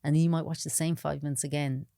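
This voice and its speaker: A woman's voice: deep voice, little pitch movement, quick pace, gravelly, dark tone, clean tone, no echo, clean studio sound. An Irish woman who teaches the Irish language, talking in a chatty, enthusiastic way as an interview guest. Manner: enthusiastic, chatty, frequent agreeing "yeah". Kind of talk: casual interview chat.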